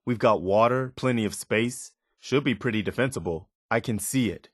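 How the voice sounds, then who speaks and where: A man's voice: medium pitch, normal speed, slightly rough, no echo, studio quality, natural voice. A man playing an earnest, idealistic and loyal militia soldier in a video game, speaking plainly and conversationally. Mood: earnest, thoughtful. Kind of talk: video game companion dialogue, naturalistic acting.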